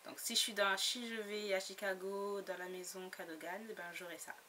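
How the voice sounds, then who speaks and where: A woman's voice: mid-range voice, little pitch movement, normal speed, bright, forceful tone. A woman chatting casually about her latest book haul, giggly and full of fillers and restarts. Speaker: book blogger. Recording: noisy home recording.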